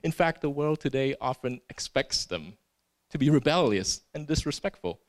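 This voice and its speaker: A man's voice: mid-range voice, expressive, normal speed. A man from Singapore preaching a sermon in an earnest way. He often tags his points with "right?" and restarts his words.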